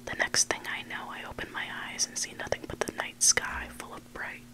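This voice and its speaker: An ASMR host whispering scary stories in a hushed, unvoiced voice, close to the microphone. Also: brisk. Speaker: ASMR host reading listener-submitted stories. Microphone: close microphone made for headphone listening.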